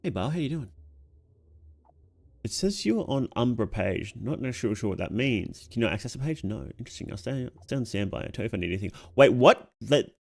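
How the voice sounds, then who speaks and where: A man's voice: mid-range voice, expressive, brisk, vocal fry, a little mellow. A man streaming casually, reading on-screen text aloud and musing on it as he goes. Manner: reads text aloud, muses and reacts aloud. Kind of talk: casual livestream reading and commentary.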